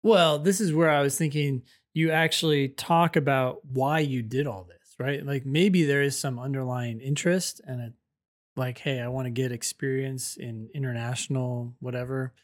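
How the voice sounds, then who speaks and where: A man's voice: medium pitch, fairly even intonation, moderate pace. A man co-hosting an advice podcast in a casual, conversational way, thinking aloud as he goes. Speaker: podcast host.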